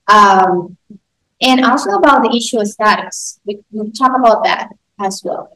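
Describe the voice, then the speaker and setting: A woman whose first language is Spanish, hosting an interview podcast in a halting way, with many fillers and restarts. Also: medium pitch, fairly bright tone, rough recording. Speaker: podcast host. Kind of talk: casual interview.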